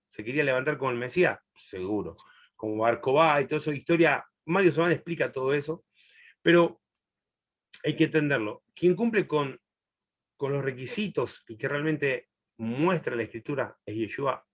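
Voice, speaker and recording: A middle-aged man from Chile, raised in Argentina, teaching a Bible class in a chatty, laughing way. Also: on the high side. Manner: warm, chatty, laughs often, long pauses. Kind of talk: answering a student in a live class.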